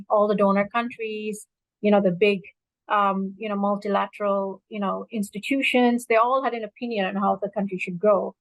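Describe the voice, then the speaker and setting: A woman's voice: mid-range voice, no room sound, low-quality recording. A woman in her fifties from Bangladesh, speaking earnestly and reflectively to an audience, with many fillers. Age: 50s. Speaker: alumna speaker. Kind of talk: personal talk to an audience.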